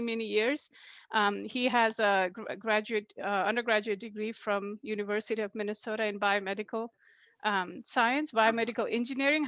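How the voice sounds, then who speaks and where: A woman's voice: a little high, a bit bright, no echo, muffled recording. A middle-aged woman from Nepal, introducing a speaker in a friendly way over a video call, laughing easily. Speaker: guest introducer.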